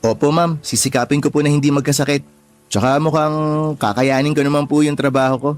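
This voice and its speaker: An actor playing a polite, eager nineteen-year-old vendor trainee in a Filipino radio drama, deferential and earnest, with a Filipino accent. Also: male voice, a little high, steady tone, fairly quick, lightly acted. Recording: music playing behind the voice.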